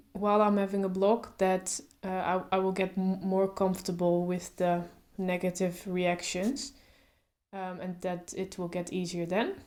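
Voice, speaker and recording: A woman vlogger talking to camera in a calm, reflective way, stuttering openly with blocks and repeated sounds. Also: medium pitch. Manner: calm, reflective, thinks aloud with fillers. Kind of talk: casual talk to camera.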